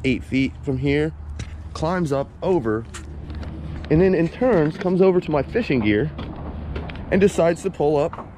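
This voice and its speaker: A man's voice: medium pitch, darkish tone. Combative American man in his forties, noisy recording. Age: 40s. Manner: confrontational, sarcastic, swearing. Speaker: video blogger, police auditor. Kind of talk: casual narration over footage.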